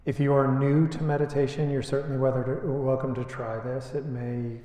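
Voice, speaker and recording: A man leading a guided meditation as a teacher, speaking in short unhurried phrases with long pauses. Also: medium pitch, level tone, warm tone. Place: indoor retreat space before a group. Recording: echoey, reverberant room.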